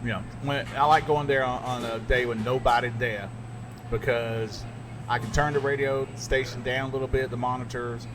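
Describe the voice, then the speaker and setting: A man's voice: mid-range voice, fairly even intonation, moderate pace, noisy background. A man hosting a podcast, joking through a casual chat over food. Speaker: podcast host.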